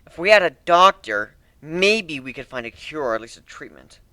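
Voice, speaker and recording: Man playing a bright-voiced brooding griffin captain. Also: fairly high pitch, big pitch swings, moderate pace, dry recording, pitched above the performer's own voice. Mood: defensive. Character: male griffin captain, addicted, brooding, stubborn.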